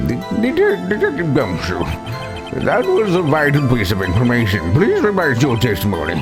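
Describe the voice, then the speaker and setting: A man voicing a flustered, doddering old judge in a courtroom drama game, excitable and stumbling but earnest. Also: medium pitch, normal speed, music in the background, voice pushed up. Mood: official.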